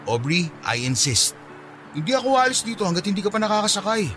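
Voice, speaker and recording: A man playing a kind, persistent, bashfully smitten young office junior in a Tagalog radio drama, speaking gently and coaxingly. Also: sits high, very animated, quick pace, faint music behind. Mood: insistent.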